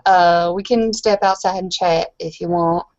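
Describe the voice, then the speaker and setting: A woman roleplaying a tipsy, evasive, troubled young woman in a tabletop game, halting and apologetic. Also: lowish voice, steady tone, a little mellow, dull audio, natural voice. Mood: flustered, apologetic.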